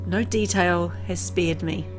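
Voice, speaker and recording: A woman's voice: lowish voice, close to an everyday voice, low-quality recording. A woman voicing a grieving, vengeful father haunted by guilt, reading a visual novel aloud in a dramatic, brooding manner. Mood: brooding regret. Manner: dramatic, brooding, deliberate phrasing. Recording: music under the voice.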